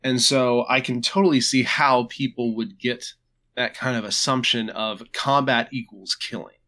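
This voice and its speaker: A man hosting a casual podcast chat, stuffed up with a cold, talking in a relaxed, chatty way with frequent restarts. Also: mid-range voice, normal speed. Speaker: podcast host.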